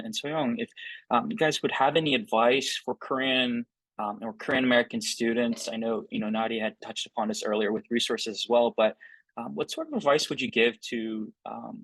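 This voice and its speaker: A man's voice: medium pitch, fairly bright tone, no echo, low-quality recording. Thoughtful man moderating a panel. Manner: thoughtful, many fillers. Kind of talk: hosting a panel discussion.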